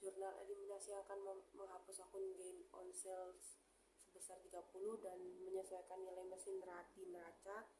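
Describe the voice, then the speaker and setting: A young woman gives a student presentation in a mellow, relaxed tone. She reads in a halting way and stumbles over English terms. The room echoes around her, with faint noise behind. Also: medium pitch. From Indonesia. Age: young adult. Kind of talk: class presentation, reading accounting slides aloud. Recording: roomy echo, faint background noise.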